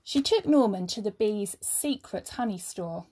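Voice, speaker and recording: Slow-paced woman narrating a storybook. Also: mid-range voice, lively intonation, dark tone, slightly rough. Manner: measured, emphatic pauses. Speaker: teacher reading a story to pupils. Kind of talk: expressive children's storybook narration.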